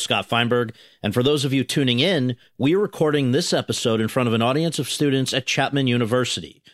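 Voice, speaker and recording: A man hosting a podcast interview in front of a student audience, reading a formal introduction in a measured way. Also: mid-range voice, a bit bright, no room sound, clean studio sound. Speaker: podcast host. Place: university venue with audience.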